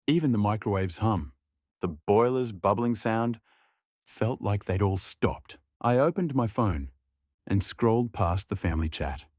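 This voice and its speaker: A young man narrates a scripted audio drama in a subdued, reflective inner voice. His pitch sits a little low, and the recording is close and dry. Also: lively intonation, a little slow, darkish tone, no echo.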